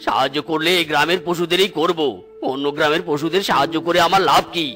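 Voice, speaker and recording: Guy doing a gruff self-important cartoon animal chairman, brusque, forceful highish voice. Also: steady tone, fast talker, soft background music. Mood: scornful. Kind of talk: cartoon voice acting.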